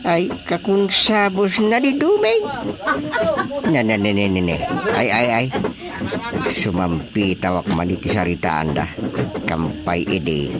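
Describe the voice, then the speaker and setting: Excitable Filipino village chairman, swooping voice. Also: fairly low pitch, unhurried, pauses often, over a noisy crowd. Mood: muttering, teasing. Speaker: radio drama actor. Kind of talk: Filipino radio drama comedy acting.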